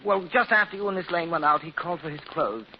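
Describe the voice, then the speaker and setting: Anxious high-voiced manservant. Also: male voice, fast, vocal fry. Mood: apologetic. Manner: formal, fussy, polite. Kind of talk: 1940s radio drama acting. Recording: muffled, noisy old-time radio recording.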